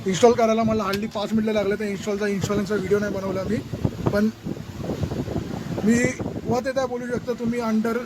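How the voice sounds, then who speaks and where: A man's voice: sits high, level tone, brisk. A man with a Maharashtrian Indian accent talking casually in an unboxing vlog, informally mixing in English words. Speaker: vlogger. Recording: very noisy, outdoor traffic-like background noise.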